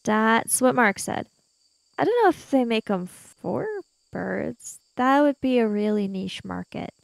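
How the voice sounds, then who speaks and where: A woman's voice: fairly high pitch, lively intonation, a little slow, vocal fry, no room sound. A woman playing a bookish, argumentative schoolgirl in an improvised tabletop adventure, wordy and pedantic. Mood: matter-of-fact. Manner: pedantic, wordy, indignant when teased.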